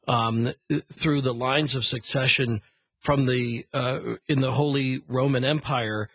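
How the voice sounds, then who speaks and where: A man hosting a radio interview, prompting his guest and summarising in measured, broken phrases as he builds questions. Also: mid-range voice, fairly even intonation, fairly bright tone, dry recording.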